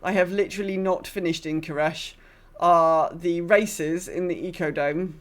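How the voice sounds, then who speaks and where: A woman's voice: on the low side, steady tone, moderate pace. A woman hosting a gaming podcast, chatting casually in a rambling, self-deprecating way. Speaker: podcast host.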